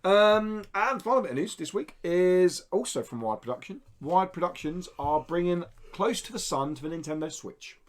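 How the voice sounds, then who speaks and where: A man's voice: high voice, expressive, moderate pace, hardly any pauses. A man hosting a podcast in a studio, reading out indie game news in an informal, explanatory way. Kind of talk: casual podcast news chat. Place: indoor studio.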